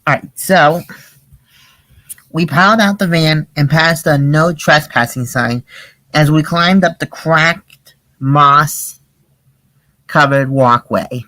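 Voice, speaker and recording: A storybook reader narrating in an amateur read-aloud style, with halting casual asides. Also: medium pitch, bright, forceful tone, dry recording, rough recording. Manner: halting, repeats phrases when searching.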